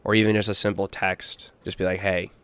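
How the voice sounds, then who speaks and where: A young man with a low, stuffed-up voice, talking slowly and earnestly in a personal vlog. His tone stays steady and flat, smooth under the congestion. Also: no room sound. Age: young adult. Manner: quiet, earnest, hesitant, with pauses. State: congested from a cold. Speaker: gaming YouTuber. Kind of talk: somber personal vlog voice-over.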